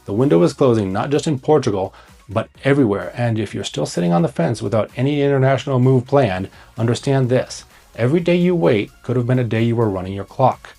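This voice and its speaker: A man with a mellow, slightly husky voice delivers scripted advice as the host of an online video. He is blunt, urgent and emphatic, landing short punchy phrases. Faint music plays behind him. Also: medium pitch. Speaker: host, immigration consultant.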